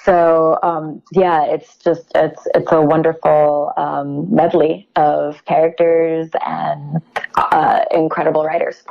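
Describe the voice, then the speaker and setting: Muffled, lower-pitched woman interview guest. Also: level tone, slow pace, vocal fry. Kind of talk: casual promotional interview.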